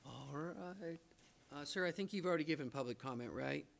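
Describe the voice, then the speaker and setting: Gravelly man chairing a council meeting. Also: fairly high pitch, a little hiss or hum. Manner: brisk, procedural. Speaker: presiding official chairing the meeting.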